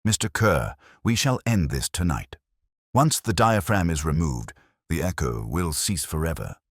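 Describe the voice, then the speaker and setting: A coolly rational Victorian detective read by a male narrator speaks with great authority in an audiobook. The voice is extremely low and dry, with formal, precise phrasing and lively movement in pitch. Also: a little slow, no room sound, full character voice. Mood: calm, certain. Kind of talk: audiobook character dialogue.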